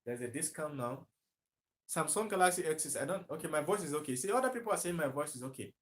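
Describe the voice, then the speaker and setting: A man's voice: on the high side, lively intonation, fast talker. A Nigerian man talking on a live stream to viewers, emphatic, repeating himself and asking rhetorical questions. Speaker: livestreaming commentator.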